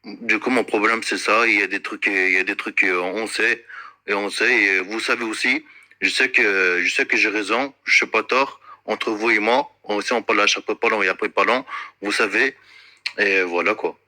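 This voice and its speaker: Insistent man with a very bright voice. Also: lowish voice, steady tone. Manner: insistent, repetitive; halting, searching for words. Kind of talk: unscripted accusatory complaint in a conversation.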